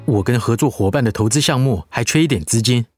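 A man's voice: mid-range voice, fast talker, a little mellow, soft background music, natural voice. A man acting a proud, short-tempered middle-aged husband in a radio drama. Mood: demanding, indignant.

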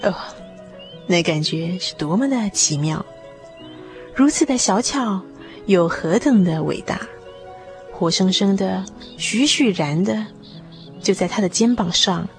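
A woman narrating a radio drama in an expressive, measured literary style. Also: medium pitch. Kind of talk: scripted radio drama storytelling. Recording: music behind the voice.

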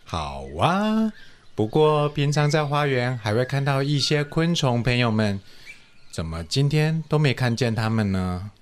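Woman as an excitable bee, slow and swooping. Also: very deep voice, exaggerated voice. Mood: admiring, friendly. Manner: exclaiming, drawn-out, exaggerated delivery. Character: excitable, polite bee admiring the butterfly. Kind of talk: children's radio play acting.

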